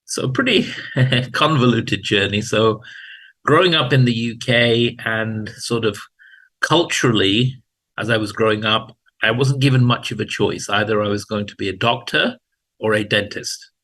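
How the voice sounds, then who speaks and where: A man, telling his career story as an interview guest in a relaxed way with dry humor. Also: lowish voice, normal speed. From England. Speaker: guest, hospital chief information officer. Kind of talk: casual interview talk.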